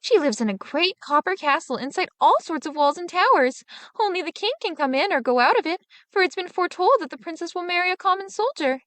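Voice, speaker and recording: A woman storyteller reading a fairy tale aloud in an expressive, dramatic manner. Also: very high voice, brisk, no echo. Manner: dramatic, animated.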